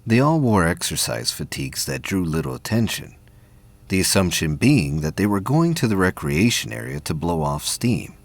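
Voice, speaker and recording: A man's voice: low voice, gravelly, breathy edge. A man reading scripted narration for a science fiction audio drama.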